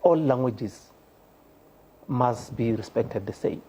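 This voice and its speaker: A man's voice: mid-range voice, takes it slowly, warm tone, husky, low-quality recording. A South African man speaking as a studio guest on a panel, earnest and hesitant with many fillers. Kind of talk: panel discussion.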